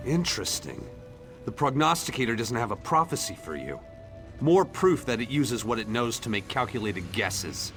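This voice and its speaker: A man's voice: medium pitch, a bit bright. A man voicing a brash, determined young treasure seeker in a fantasy video game, eager and self-assured. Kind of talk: AI-voiced fantasy game dialogue. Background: game music.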